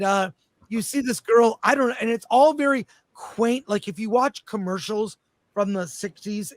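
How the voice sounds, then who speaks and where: High voiced guy hosting a chat show, excited halting storyteller, dry sound. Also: dry recording. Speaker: host. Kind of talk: casual panel chat.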